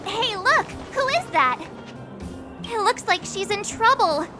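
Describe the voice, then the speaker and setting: A woman's voice: extremely high pitch, lively intonation, slow, heavily acted. A woman voicing a spirited, inquisitive, outspoken teenage girl adventurer in a video game. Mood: curious. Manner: perky, blunt, curious. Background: game music.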